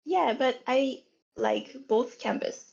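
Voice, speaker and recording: A woman's voice: high voice, slow, creaky, darkish tone, slightly rough. A young woman from Japan with a Japanese accent, chatting as a podcast guest and searching for her words as she goes. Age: young adult. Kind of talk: casual podcast chat. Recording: dull lo-fi sound.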